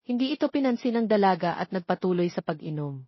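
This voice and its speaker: A woman's voice: mid-range voice, expressive, fast, dry recording, muffled recording. A woman reading a scripted romance story as an audiobook narrator.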